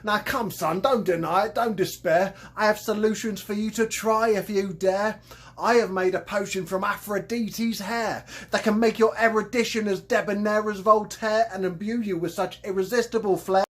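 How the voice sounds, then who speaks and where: A man's voice: high voice, fairly even intonation, moderate pace, a bit bright, pitched above the performer's own voice. A man putting on a pompous Cockney conman. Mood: grandiose. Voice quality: pompous, grandiose salesman patter. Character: shabby boastful old male Cockney conman, haughty.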